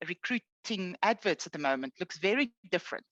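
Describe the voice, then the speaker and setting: South African woman, low voice, dry lo-fi sound. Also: lively intonation, fairly quick, fairly bright tone, no echo. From Eastern Cape, South Africa. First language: Afrikaans. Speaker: guest, HR executive. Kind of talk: podcast interview.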